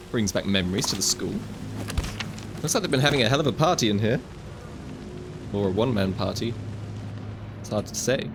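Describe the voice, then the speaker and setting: A man's voice: on the low side, noisy background. Joking young man narrating a VR game. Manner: chatty, joking, wry asides to the viewer. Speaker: gaming video host. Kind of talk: casual let's-play commentary.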